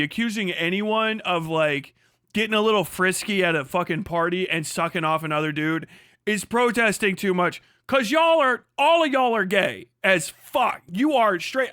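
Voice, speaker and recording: A man's voice: sits high, sharp tone, clean tone, no room sound, studio quality. A man hosting a livestream, delivering a profane, mocking commentary rant and laughing often. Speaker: livestream host.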